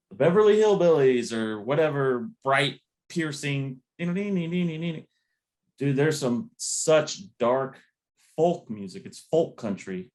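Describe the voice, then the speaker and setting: Mid-pitched man, rambling casual chat. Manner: laid-back, rambling, slangy with swearing. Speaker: podcast host.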